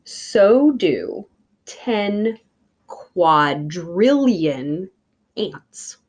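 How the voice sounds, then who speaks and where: Woman reading slowly, with animated pitch. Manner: deliberate, emphatic phrasing addressed to young listeners. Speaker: teacher reading a picture book aloud. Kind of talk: children's read-aloud.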